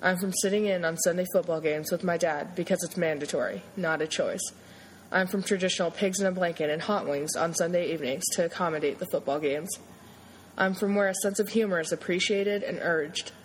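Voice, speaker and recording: Young adult woman, slightly low voice; steady, sincere poetry reading over faint noise. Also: brisk, low-quality recording. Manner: steady, earnest recitation. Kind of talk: reading a personal poem aloud.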